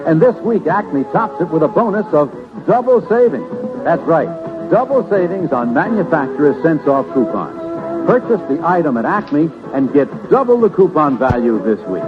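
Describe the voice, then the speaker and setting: A man's voice: fairly high pitch, big pitch swings, normal speed. A man reading an upbeat scripted commercial as a vintage radio announcer. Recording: tinny vintage radio ad with music.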